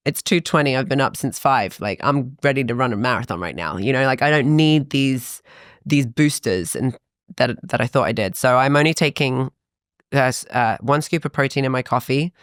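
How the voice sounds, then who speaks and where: A woman in her thirties, a fitness coach, chatting in a podcast interview, animated and quick with asides. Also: rumbling low, no room sound, clean studio sound.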